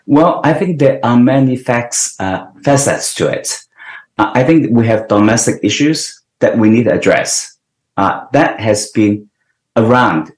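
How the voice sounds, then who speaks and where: A man in his sixties from Hong Kong, giving earnest, explanatory interview answers and often restarting his sentences. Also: medium pitch. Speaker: guest. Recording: roomy echo.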